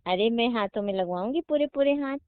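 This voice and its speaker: A woman's voice: on the high side, lively intonation, fairly quick, clean tone, dry recording, close to an everyday voice. A woman playing a flirty, coaxing customer phoning a henna artist, talking casually and persuasively. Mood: chatty, persuasive. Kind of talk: casual phone-call role-play. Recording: dull, lo-fi phone-call audio.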